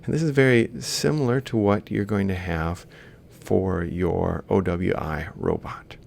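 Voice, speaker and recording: A man's voice: deep voice, unhurried, gravelly, a little mellow, a little hiss or hum. A man lecturing informally, explaining a worked example step by step as he writes. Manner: explains step by step while writing. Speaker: lecturer in robotics.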